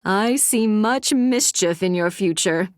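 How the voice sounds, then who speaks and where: A woman's voice: medium pitch, takes it slowly, lightly acted. An actress voicing a mischievous, teasing young woman in a fantasy game. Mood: playful.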